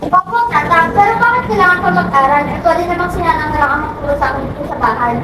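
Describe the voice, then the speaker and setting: A woman's voice: very high-pitched, fast. A woman playing a respectful youngster of the family in an amateur student historical drama. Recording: echoey, noisy room, distant mic.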